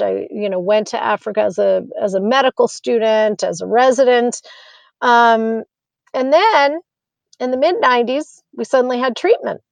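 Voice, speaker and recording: A woman's voice: a little high, creaky, a little mellow, dry recording. An American woman in her fifties, a physician, answering interview questions in an earnest, reflective way with many fillers and restarts. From United States. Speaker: physician and guest.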